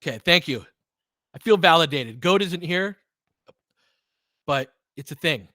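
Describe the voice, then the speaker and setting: A man doing live basketball play-by-play as an excitable, partisan fan, chatting casually with his viewers. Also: a little high, measured pace, no echo, clean studio sound. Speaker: fan play-by-play commentator, streamer.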